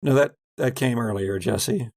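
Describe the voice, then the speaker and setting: A man hosting as game master, narrating a dramatic recap of a tabletop horror game in measured, theatrical phrasing. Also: medium pitch, gravelly, a little mellow, no room sound. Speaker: game master and podcast host.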